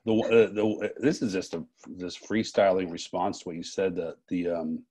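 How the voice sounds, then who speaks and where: Man, muted mellow tone, husky, interviewer, reflective, halting and searching for words. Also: deep voice, fairly even intonation. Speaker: interviewer, festival co-director. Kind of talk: unscripted, reflective interview talk.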